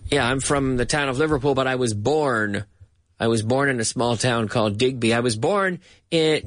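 A Canadian man giving a bilingual English lesson, speaking slowly and repeating words over and over so learners can catch the sounds. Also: medium pitch, fairly bright tone. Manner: slow, repeats words for drilling. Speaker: English teacher.

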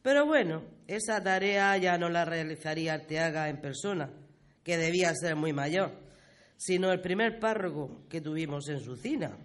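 A man as a high-voiced villager explaining. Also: expressive, moderate pace, a bit bright. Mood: patient, colloquial. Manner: colloquial, explanatory. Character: local villager patiently explaining village history to a youngster. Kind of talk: conversational radio play acting.

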